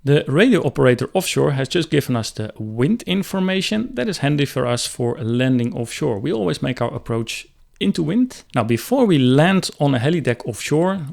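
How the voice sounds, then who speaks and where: Man, thirties, medium pitch, gravelly fry, instructional pilot commentary, close desk mic. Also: a little mellow. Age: 30s. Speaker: helicopter pilot explaining radio calls. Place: small room indoors. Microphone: close desk microphone.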